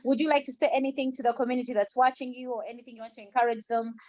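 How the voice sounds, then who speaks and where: A woman's voice: on the high side, steady tone, racing, darkish tone, no echo. Encouraging female interviewer, dull lo-fi audio. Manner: encouraging, repeats words for emphasis. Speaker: interviewer, host. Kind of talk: conversational interview.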